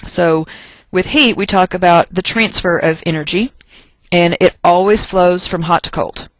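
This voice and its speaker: A teacher from Texas with an American accent, lecturing through notes in an emphatic, folksy way with joking asides and deliberate stress on key points. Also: medium pitch, no room sound, rough recording. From Texas, United States. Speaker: chemistry teacher. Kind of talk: lecture narrated over class notes.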